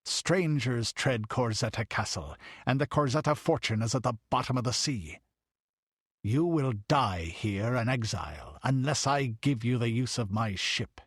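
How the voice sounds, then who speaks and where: A man's voice: mid-range voice, lively intonation, measured pace, husky, dry recording, clean studio sound. A man as a growling, ruthless buccaneer. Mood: menacing. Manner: growling, blunt. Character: ruthless blunt buccaneer captain, menacing man.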